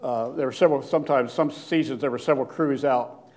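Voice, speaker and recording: An older man giving a slide lecture in a hall, with a loud voice and frequent fillers and restarts. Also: mid-range voice. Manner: loud, plain-spoken, halting with many fillers and restarts. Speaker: guest lecturer, archaeologist. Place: lecture hall with seated audience. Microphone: podium microphone, standing at lectern.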